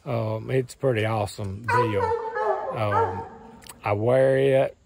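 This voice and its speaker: A hoarse man in his thirties with a Southern US accent, rambling cheerfully in a folksy way to a phone camera while standing outdoors in the woods. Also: lowish voice, steady tone, slow, over music. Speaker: farm and hunting channel host. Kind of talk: casual vlog talk to camera. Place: outdoors in woods beside a truck. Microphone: phone camera at arm's length.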